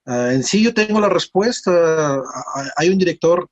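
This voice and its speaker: A man from Mexico with a Mexican accent, telling stories casually as an interview guest in a rambling, halting way with repeated words. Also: on the high side, animated, highs cut off. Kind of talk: casual conversational storytelling.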